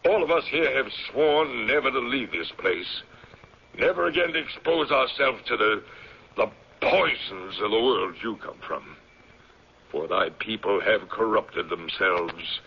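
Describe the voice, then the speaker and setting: A commanding old patriarch in a radio play, low and gravelly. He is grave and deliberate, with an ominous authority. The sound is that of a dull vintage broadcast. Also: animated, heavily acted. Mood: stern, threatening. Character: stern, commanding old patriarch, captain of a secluded mountain community. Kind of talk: old-time radio drama acting.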